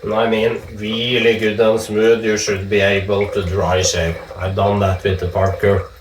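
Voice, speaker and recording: A man with a Norwegian accent chatting casually while he shaves, joking and swearing in relaxed asides. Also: lowish voice, unhurried, slight background noise. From Norway. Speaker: vlogger shaving with a straight razor. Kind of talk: casual talk while shaving. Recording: echoey room with dripping water.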